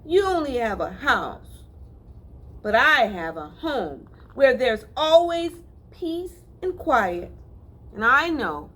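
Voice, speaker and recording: A woman's voice: medium pitch, fairly bright tone, full character voice. A woman doing a shaky, timid country mouse. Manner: shaky, earnest pleading. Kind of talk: read-aloud storybook.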